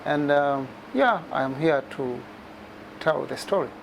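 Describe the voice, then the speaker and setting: A man's voice: animated, unhurried, gravelly, slight background noise. A man, giving a personal testimony as an immigrant and repeating phrases for emphasis. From Uganda. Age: 40s. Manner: emphatic, repeats phrases, rises in pitch on key details. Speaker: immigrant telling a story.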